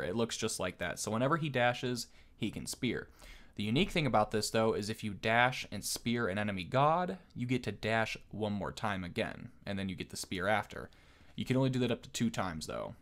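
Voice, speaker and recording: A man's voice: lowish voice, a bit bright. A man giving casual game commentary as a video host, explaining things in a conversational way.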